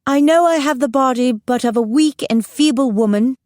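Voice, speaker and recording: Audiobook figure: woman performing a teenage girl as a regal queen, British accent, raised pitch, declamatory and solemn, close dry sound. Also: moderate pace, a little mellow, no echo, lightly acted. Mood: resolute. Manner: grand, declamatory. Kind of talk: formal theatrical speech in an audiobook.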